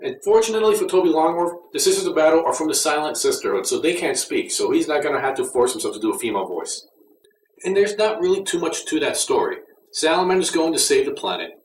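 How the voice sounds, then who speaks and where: A man's voice: on the high side, expressive, fast. A man giving a casual, conversational and mildly critical review as an audio drama reviewer. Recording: roomy echo, distant mic, faint noise.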